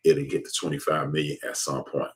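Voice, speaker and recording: A man's voice: a bass voice, flat delivery, brisk, husky. An American man giving an informal, emphatic opinion monologue to his viewers, preaching his point and repeating phrases for stress. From United States. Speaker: host of an online commentary show.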